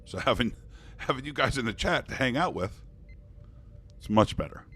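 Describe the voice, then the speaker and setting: A man's voice: medium pitch, lively intonation, normal speed, a bit bright, husky. A man chatting casually on a live poker stream, thanking viewers and joking in character as a starship commander. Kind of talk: casual live-stream chat while playing online poker.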